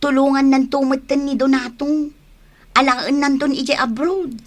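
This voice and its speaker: A woman's voice: high voice, flat delivery, moderate pace, lightly acted. A woman acting a talkative, proud grown daughter in an Ilocano family radio drama. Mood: impressed, chatty.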